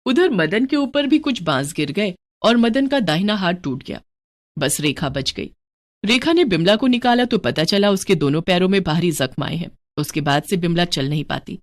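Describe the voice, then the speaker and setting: A woman's voice: lowish voice, lively intonation, moderate pace, no echo. A woman narrating a scripted moral story as a storyteller.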